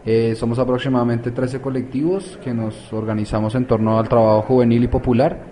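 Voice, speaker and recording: A man's voice: medium pitch, level tone, brisk, dark tone. A man from Colombia, answering interview questions as a youth activist, hesitant with many fillers and restarts.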